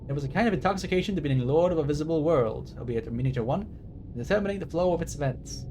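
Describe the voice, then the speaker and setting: A man's voice: on the high side, animated, quick pace, background noise. A man livestreaming a game, reading text aloud and drifting into rambling, enthusiastic asides. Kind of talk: game stream commentary, reading on-screen text aloud and chatting.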